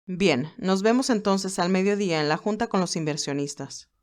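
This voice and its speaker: A woman's voice: medium pitch, normal speed, natural voice. Woman reading a composed, loyal male assistant. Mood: attentive, composed.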